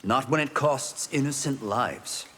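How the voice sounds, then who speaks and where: An actor playing a righteous video game hero, reasoning earnestly in a husky voice. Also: male voice, mid-range voice, unhurried, bright, forceful tone, slight background noise. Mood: earnest, reproachful. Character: earnest, righteous male hero pleading for peace. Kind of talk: video game fight banter.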